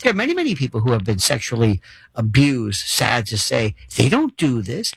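A man's voice: mid-range voice, wildly swooping pitch, moderate pace, vocal fry, slightly rough, dry recording, poor audio. A man hosting a call-in radio talk show, theatrical and wordy with mock-formal turns of phrase. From Florida.

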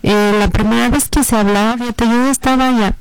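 A woman's voice: on the high side, fairly even intonation, a little fast, clear, resonant tone, no room sound, poor audio. A Mexican woman in her fifties, a lawyer and public servant, talking earnestly in a radio interview with many fillers and restarts. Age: 50s. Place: radio booth.

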